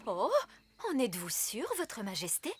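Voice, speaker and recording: A woman's voice: mid-range voice, very animated, normal speed, close to an everyday voice. A woman playing a fussy, sceptical fairy nanny in a children's cartoon, with a prim, exclamatory manner. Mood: grudging, dutiful. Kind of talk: animated children's cartoon acting. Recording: very loud music behind.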